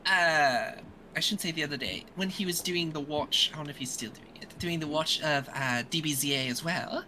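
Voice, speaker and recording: A woman's voice: sits low, normal speed, noisy background. A woman hosting a casual podcast chat who drops into whispered asides. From a metropolitan area. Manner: whispers asides, laughs often. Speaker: podcast host.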